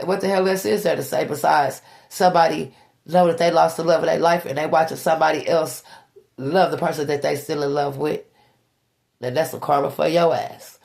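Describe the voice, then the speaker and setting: Blunt, gravelly-voiced woman tarot reader. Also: low voice, a little mellow. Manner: blunt, profane, preachy, repeats herself. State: stuffy nose. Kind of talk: tarot reading monologue.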